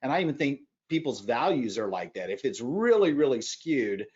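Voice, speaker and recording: A man speaking as a podcast guest in animated conversation, emphatic, with loud bursts and quieter asides. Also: mid-range voice, moderate pace, highs cut off.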